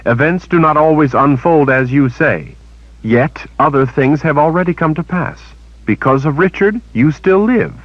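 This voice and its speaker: A man's voice: a little high, moderate pace, no echo, muffled recording, voice pushed up, lightly acted. A man voicing a young woman who speaks indignantly and defiantly. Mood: angry. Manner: indignant, rising.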